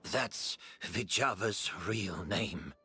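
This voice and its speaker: A weary, grave confessor from a video game. He speaks slowly and deliberately with a forceful edge, resigned to revealing dark secrets. Faint music plays behind him. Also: on the low side, full character voice. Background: faint background music.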